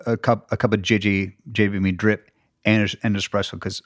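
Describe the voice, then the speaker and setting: A man's voice: lowish voice, little pitch movement, a little fast, no room sound, clean studio sound. A man in his forties co-hosting a podcast, chatting casually with playful, self-mocking humor. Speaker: podcast co-host, actor. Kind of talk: casual chat.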